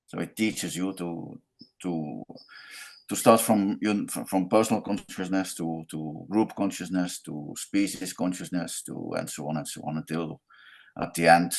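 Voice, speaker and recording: Belgian man on a panel, deep voice, thinks aloud haltingly. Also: fairly even intonation, vocal fry. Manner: halting, repeats words while searching. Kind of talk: casual roundtable discussion.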